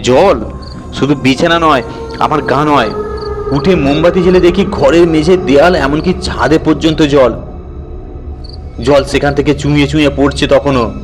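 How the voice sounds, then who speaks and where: A man voicing a colloquial raconteur who recalls a frightening night with drawn-out, dramatic suspense. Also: fairly high pitch, steady tone, soft background music, close to an everyday voice. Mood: teasing suspense.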